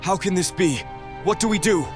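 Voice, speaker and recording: A man's voice: sits high, moderate pace, lightly acted. A man playing an anxious young military recruit in a motion comic. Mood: panicked. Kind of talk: motion comic dramatic acting. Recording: loud music over the voice.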